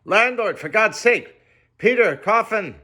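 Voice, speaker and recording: A man voicing a startled young male sailor who speaks loudly and urgently. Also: medium pitch, very animated, measured pace, heavily acted. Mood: frantic. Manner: loud, urgent pleading.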